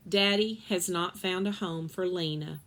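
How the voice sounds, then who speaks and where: A woman reading a children's novel aloud in an expressive storytelling style. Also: lowish voice, measured pace.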